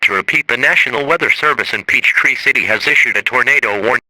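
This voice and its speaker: Male emergency announcer, piercingly bright and bone-dry, formal automated delivery of a scripted weather alert. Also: medium pitch, quick pace, dry recording, low-quality recording.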